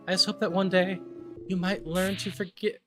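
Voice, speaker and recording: Man doing a gentle, solemn, high-pitched mystical leader. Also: level tone, normal speed. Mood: sorrowful, pleading. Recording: game music under the voice.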